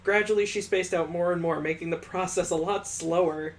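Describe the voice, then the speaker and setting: Guy reading a visual novel out loud, kinda high voice, expressive, snarky comments thrown in. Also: brisk, a little hiss or hum. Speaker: let's play host. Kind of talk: visual novel read-aloud with joking asides.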